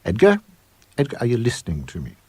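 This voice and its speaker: A man voicing a brisk, nagging, demanding wife in a ghost story, with a husky voice. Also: mid-range voice, huge pitch swings, warm tone, no echo, heavily acted. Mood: insistent, sharp.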